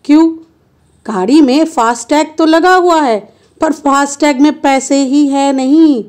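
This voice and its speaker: A woman's voice: high voice, unhurried, a little mellow. A woman narrating a road-trip travel vlog in a chatty, playful way, filming on a handheld phone from a car and at roadside stops. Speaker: travel vlogger.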